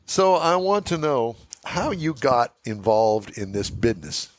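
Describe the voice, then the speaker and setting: A man's voice: medium pitch, very animated, measured pace, no echo. Jovial man hosting a car show. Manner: jovial, teasing banter. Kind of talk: casual on-air chat. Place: outdoor car show remote studio. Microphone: headset.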